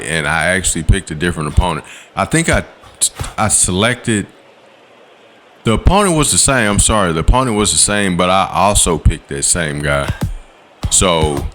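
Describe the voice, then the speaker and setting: A man's voice: a bit bright, a little hiss or hum. A man, giving laid-back voice-over commentary on his gameplay in a slangy, coaching way. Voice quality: low voice. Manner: laid-back, coaching, slangy with quick "boom" call-outs. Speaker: gaming channel host. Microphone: voice-over recorded separately from the footage.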